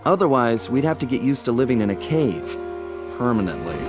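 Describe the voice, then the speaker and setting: An adventurous, easygoing thief voiced by a man tells his story in a video game cutscene with music playing behind him. His delivery is wry and expressive, rising and falling widely and pausing for dramatic effect. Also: mid-range voice, close to an everyday voice. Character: smooth-talking male cartoon thief, adventurous, easygoing gang leader. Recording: background music under the voice.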